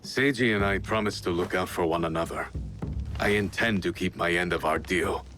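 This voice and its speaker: An actor playing a loyal, weary ronin in a video game, speaking earnestly in formal, measured phrases. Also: male voice, sits low, over music, natural voice. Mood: earnest, troubled. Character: loyal, weary male ronin, gruffly honorable outcast warrior. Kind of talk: video game cutscene acting.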